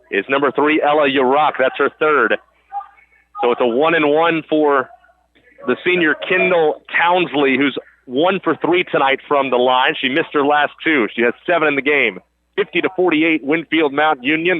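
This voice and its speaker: High voiced guy doing radio play by play, clipped calls, noisy gym behind him, rough broadcast sound. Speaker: radio play-by-play sports announcer. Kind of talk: live sports commentary. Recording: phone-like radio broadcast, loud gym noise. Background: crowd and game noise.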